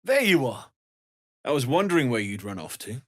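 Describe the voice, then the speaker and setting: A kindly fantasy smith from a video game, with a mid-pitched voice that has a husky grain. He speaks softly and sincerely, with world-weary concern and an earnest, gentle temper. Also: sing-song, moderate pace. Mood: friendly, sincere.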